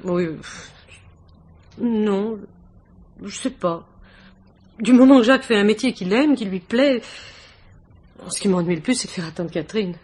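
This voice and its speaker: Devoted wife, 1950s radio drama: talkative hostess, romantic and polite, slightly husky voice. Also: female voice, mid-range voice, lively intonation, a little slow, long pauses, a little mellow. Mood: chatty, confiding. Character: gracious, chatty, devoted young wife and hostess. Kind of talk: 1950s French radio mystery acting.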